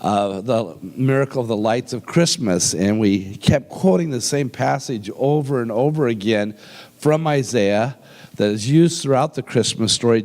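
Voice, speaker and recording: A man in his sixties preaching a sermon as pastor, his voice deepened and roughened by a cold, folksy and humorous, slowing down when he reads scripture. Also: a little mellow. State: has a cold, deep rough voice. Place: church sanctuary with long reverb. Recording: reverberant hall sound.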